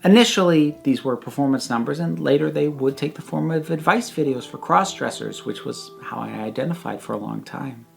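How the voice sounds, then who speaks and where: A speaker in their thirties giving a prepared personal reflection, with measured, emphatic phrasing and deliberate pauses. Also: medium pitch, vocal fry. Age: 30s.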